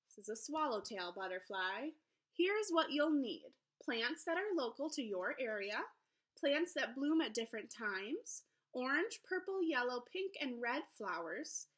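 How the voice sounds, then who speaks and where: A woman teacher reading a children's book aloud in an expressive way, pausing to explain things simply to young listeners. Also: high voice. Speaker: elementary school teacher.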